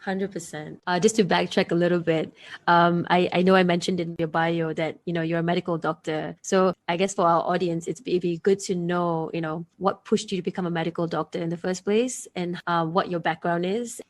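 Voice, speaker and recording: A woman's voice: on the low side, steady tone, brisk. Friendly woman podcast host. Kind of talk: podcast interview.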